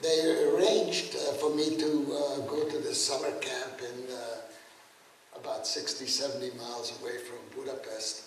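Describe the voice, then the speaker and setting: An elderly man in his eighties, from Czechoslovakia and a native German speaker, speaks slowly and haltingly, stopping often to restart. His voice rings in a very echoey room, heard from a distance, with faint noise underneath. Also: mid-range voice, level tone. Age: 80s. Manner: halting, many fillers and restarts. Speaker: guest speaker, Holocaust survivor. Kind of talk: spoken testimony lecture. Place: lecture room. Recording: very echoey room, mic far off.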